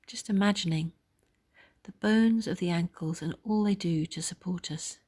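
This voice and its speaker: A woman leading a guided body scan meditation in a soft, gentle, soothing way, pausing between phrases. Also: medium pitch, slightly rough.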